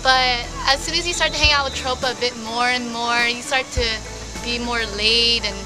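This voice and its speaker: A woman's voice: a little high, fairly even intonation, normal speed, over music, poor audio. A young woman gives a playful, joking farewell testimonial.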